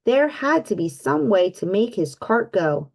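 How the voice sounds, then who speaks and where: A woman reading a picture book aloud for children, pausing to ask her young listeners questions. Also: mid-range voice, very animated, gravelly, darkish tone. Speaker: children's read-aloud host. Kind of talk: storybook read-aloud.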